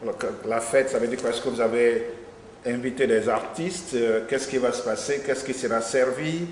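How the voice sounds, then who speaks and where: A middle-aged man hosting a studio interview, asking measured questions and repeating himself to be clear. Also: medium pitch, steady tone. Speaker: host. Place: small studio with logo backdrop. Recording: echoey studio room, faint noise.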